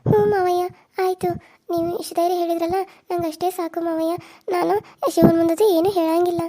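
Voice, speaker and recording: A woman's voice: very high voice, monotone, fairly quick, dark tone. A woman playing a frightened young daughter-in-law. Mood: gentle. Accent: North Karnataka Kannada. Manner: tearful, pleading.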